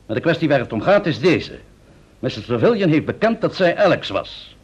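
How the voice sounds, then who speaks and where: A man's voice: on the high side, very animated, a little fast, breathy edge. A man playing a stern, formal senior police interrogator in a vintage radio play. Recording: dull vintage radio drama recording.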